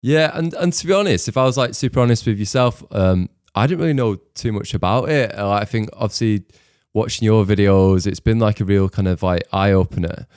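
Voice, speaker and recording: A man hosts a podcast in a casual, rambling style. His voice is fairly low and very smooth, recorded close and dry. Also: a little mellow, no echo. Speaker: podcast host, interviewer.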